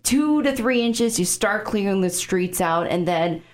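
A woman from the Chicago suburbs with a Chicago accent, hosting a studio radio interview and asking quick, conversational questions. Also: medium pitch, animated. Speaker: radio host.

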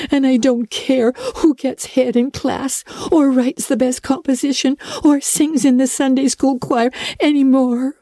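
A woman voicing a dramatic, imaginative orphan girl who speaks high, breathless and tearful, often dropping to a whisper. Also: warm tone, dry recording, clean studio sound. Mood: despairing. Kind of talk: audiobook character reading.

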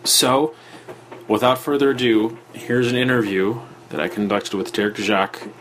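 A man's voice: fairly low pitch, steady tone, moderate pace, a bit bright, a little hiss or hum, poor audio. An American man giving an informal, subdued and plain-spoken podcast introduction as its host.

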